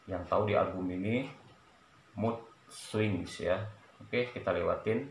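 A middle-aged man talks in a fairly low voice, casually presenting things as a home vlogger. His delivery is very slow and halting, with short repeated phrases. The room sounds clearly echoey, with some noise behind him. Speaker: vlogger showing a cassette collection. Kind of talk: casual vlog chat, showing items. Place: small room at home, indoors.

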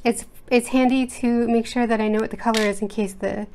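A woman's voice: on the high side, steady tone, a little fast, a little mellow, slight background noise. American woman chatting away at her art desk, lots of ums, rambly and easygoing. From United States. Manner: chatty, rambling, lots of fillers and self-corrections. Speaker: art channel host. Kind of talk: casual rambling art chat. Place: indoors at a home desk. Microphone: overhead camera setup, speaker off-screen.

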